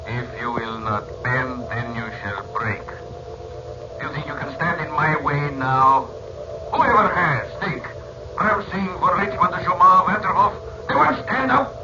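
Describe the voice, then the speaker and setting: An actor playing an arrogant, power-hungry evil sorcerer in a theatrical, threatening 1940s radio style. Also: male voice, on the high side, unhurried. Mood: menacing. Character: arrogant villainous sorcerer, menacing, craving power. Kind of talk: 1940s radio serial acting. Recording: dull, noisy old radio recording.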